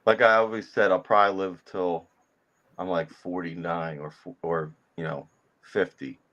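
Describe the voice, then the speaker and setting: A man's voice: deep voice, fairly even intonation, moderate pace, fairly bright tone, lo-fi sound. A man in his late thirties chatting casually with lots of swearing. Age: late 30s. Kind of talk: casual profane group chat.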